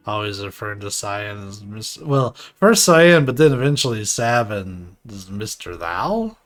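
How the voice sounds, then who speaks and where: A man's voice: fairly low pitch, expressive, takes it slowly, a bit bright. Casual, rambling male game streamer. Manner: informal, rambling, joking. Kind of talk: casual live game commentary. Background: faint game music.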